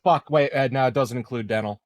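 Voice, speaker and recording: A man's voice: mid-range voice, a little fast, no room sound. A man playing a tabletop roleplaying game, chatting casually, joking and swearing.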